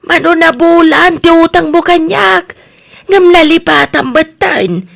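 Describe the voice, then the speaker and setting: A woman with an Ilocano Filipino accent acting a sharp-tongued, bossy shop-owning aunt in a comedy radio drama, emphatic and nosy. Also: extremely high pitch, a little slow, gravelly, exaggerated voice. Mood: scolding.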